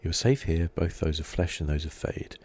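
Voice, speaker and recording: A soft-spoken man giving relaxed, rambling ASMR commentary as he plays a video game, thinking aloud. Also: fairly quick, slightly rough. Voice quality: soft-spoken, low-key.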